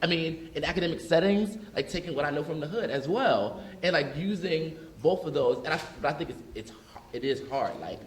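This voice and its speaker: A man from North Philadelphia speaking as an educator on a panel discussion, talking in an animated way with many fillers. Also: a little high, a little fast, few pauses, roomy sound, low-quality recording. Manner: animated, frequent fillers like "like" and "you know what I mean". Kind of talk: panel discussion, casual conversational. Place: large room with audience.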